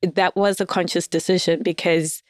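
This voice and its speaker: A woman's voice: on the low side, steady tone, normal speed, no echo, clean studio sound. A woman from Zimbabwe chatting candidly as a podcast guest, laughing often and hedging her opinions. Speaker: guest writer. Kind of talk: casual podcast chat.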